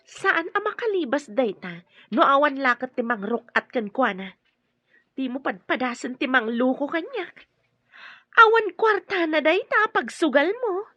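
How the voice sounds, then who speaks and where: A woman's voice: high-pitched, expressive, measured pace, sharp tone, dry recording, highs cut off, full character voice. An actress playing a domineering, suspicious woman with a scolding, commanding manner in an audio drama. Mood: bossy, scolding.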